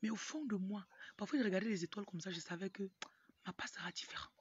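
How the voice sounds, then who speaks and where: A woman's voice: mid-range voice, fast. A young woman with a Cameroonian French accent gives an informal motivational talk to camera, often breaking off into asides. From Cameroon, grew up in Tonga. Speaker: motivational speaker, livestream host. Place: outdoors in a yard. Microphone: phone at arm's length. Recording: muffled, noisy outdoor phone recording. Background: rooster crowing, background noise.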